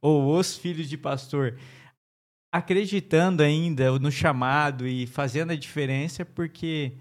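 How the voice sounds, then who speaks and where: A man's voice: a little high, unhurried. A man hosting an interview, asking a pastor questions in a chatty, enthusiastic way. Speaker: interview host. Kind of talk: casual interview conversation.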